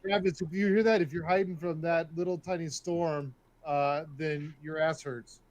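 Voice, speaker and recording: A man's voice: a little high, fairly even intonation, moderate pace, darkish tone. A man trading casual, teasing banter as a guest on a group video call. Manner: teasing, jokey.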